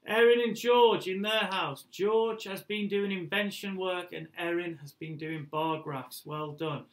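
Upbeat man reading shout-outs. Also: high-pitched, a little slow, low-quality recording. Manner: upbeat listing of names, dropping at phrase ends. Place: school room before a display board. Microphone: webcam facing him.

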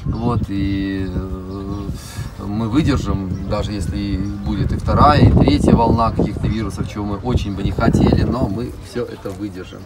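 A middle-aged man giving a casual guided tour in short, unhurried phrases with frequent pauses. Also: on the low side, fairly even intonation, very noisy. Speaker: zoo director giving an interview and tour. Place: open cab of a small vehicle driving through an outdoor park.

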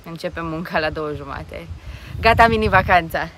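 A woman's voice: fairly low pitch, fairly bright tone. A woman chatting casually as a travel vlogger, recorded outdoors. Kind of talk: casual travel vlog chat. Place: outdoors near a jungle railway bridge. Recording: noisy outdoor recording with insects, traffic.

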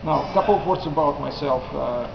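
Russian accent guy presenting his project, stops and restarts a lot, dull camcorder sound with loud hum. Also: fairly high pitch, gravelly, roomy sound. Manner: halting, restarts phrases, chuckles at own jokes. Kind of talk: informal presentation to seniors. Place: large wood-beamed hall. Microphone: far camera.

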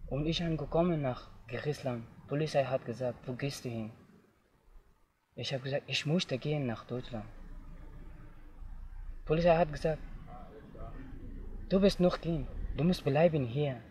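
A young man from Afghanistan, telling his own story outdoors in simple, halting learner's German. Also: mid-range voice, a little mellow. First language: not German. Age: young adult. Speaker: interviewee. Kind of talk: personal testimony. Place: outdoors in tall grass.

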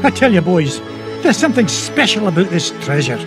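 High-pitched feisty old Scottish duck. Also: male voice, sing-song, a little fast. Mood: proud, brisk. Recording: game cutscene with music underneath.

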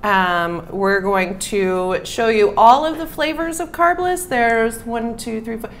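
A woman's voice: on the high side, slow pace. A woman in her thirties speaking as a guest from a local business, explaining casually. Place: small bar room, indoors. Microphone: clip-on lapel mic. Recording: faint room noise.

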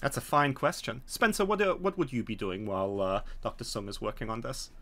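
Guy running a tabletop rpg, medium pitch, kinda halting as he explains stuff. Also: very animated, a bit bright. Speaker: game master. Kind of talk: narrating a tabletop game scenario. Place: small home room, video call.

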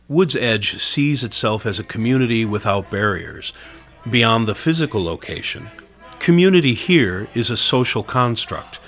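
A man's voice: lowish voice, fairly bright tone. A man with an American accent reading scripted documentary narration. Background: background music.

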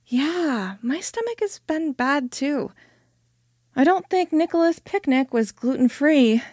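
A woman's voice: high voice, animated, slow, a little mellow, no echo, studio quality. A young woman playing a sociable, insistent friend in an amateur audio drama. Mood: chatty.

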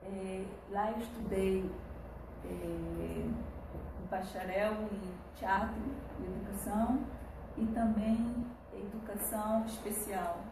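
A woman's voice: medium pitch, steady tone. An older woman from northern Brazil giving personal testimony as a panel guest, searching for words as she goes. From Pará, Brazil; moved to Israel at sixteen. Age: older, grandmother. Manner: halting, searching for words in rusty Portuguese. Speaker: peace activist, panel guest. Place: small indoor meeting room, seated. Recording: echoey room, distant mic, clear noise.

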